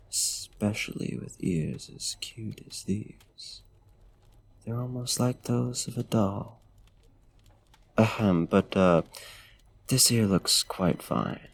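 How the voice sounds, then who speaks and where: A man voicing a courtly, smitten vampire in a soft-spoken ASMR roleplay, with formal, old-fashioned, genteel diction and a hushed, lingering delivery. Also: fairly low pitch, takes it slowly, gravelly, pauses often, full character voice. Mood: tender, admiring. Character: courtly, smitten male vampire tending a frostbitten guest. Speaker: ASMR voice actor.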